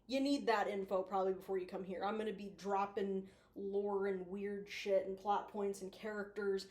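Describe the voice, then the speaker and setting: A woman's voice: mid-range voice, fairly even intonation, normal speed, darkish tone. Casual, playful woman in an echoey room. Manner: playful, teasing, mildly sweary. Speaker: YouTube host reviewing analog horror. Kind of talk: casual chatty video commentary.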